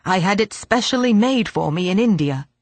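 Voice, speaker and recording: A woman reading a storybook voices a frail, grumpy old man who is gruff but kindly and speaks in a creaky, growling way. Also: mid-range voice, animated, normal speed, dry recording. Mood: grumbling. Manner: grumbling, gruff.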